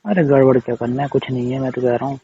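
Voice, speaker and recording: A man's voice: mid-range voice, steady tone, fast talker, a little hiss or hum. A man on a casual personal phone call, defensive and pleading as he repeats himself. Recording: dull, slightly muffled call recording.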